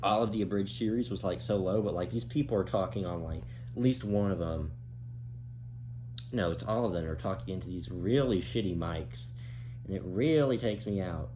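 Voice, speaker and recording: A young man chatting casually and off the cuff, rambling and halting with casual swearing, in a dull, noisy recording. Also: sits low. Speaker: commentator. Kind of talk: casual off-the-cuff opinion chat. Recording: roomy, dull, with clear background noise.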